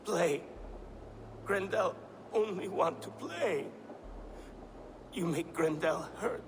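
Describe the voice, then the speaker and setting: A man playing a huge, simple-minded, childlike monster in a video game, pleading in halting broken speech. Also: sits high, background noise. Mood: hurt, pleading. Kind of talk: dramatic video game acting.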